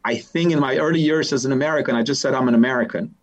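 A man's voice: medium pitch, rapid-fire, dull audio. A man from Albania with an Albanian accent, talking thoughtfully as an interview guest, often restarting and repeating words. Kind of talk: conversational interview.

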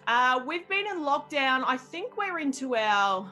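A woman's voice: high-pitched, sing-song, measured pace, bright, forceful tone, faint music behind. A young woman who hosts a radio show, chatting casually in an interview with jokes and plenty of fillers. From Australia. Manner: jokey, self-deprecating, rambling with fillers. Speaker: radio host guest.

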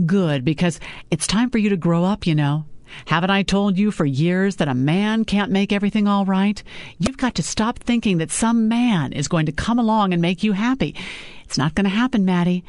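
A woman's voice: mid-range voice, normal speed, darkish tone, no echo, lightly acted. A woman reading an audiobook, voicing a stern middle-aged mother who lectures her grown daughter in a pushy, scolding way.

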